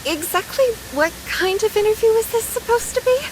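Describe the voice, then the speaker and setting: An earnest, high-voiced, saintly young lady. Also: lively intonation, fairly quick, slight background noise, poor audio. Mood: hesitant, puzzled. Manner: polite, precise, earnest. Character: earnest, proper, saintly young woman, easily flustered. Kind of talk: video game voice acting.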